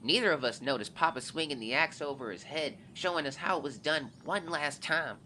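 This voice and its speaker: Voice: medium pitch, brisk, pushed and bright, a little hiss or hum, lightly acted. An actor voicing a young boy who earnestly narrates events into his journal in a scripted audio drama. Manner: earnest, confiding, storytelling.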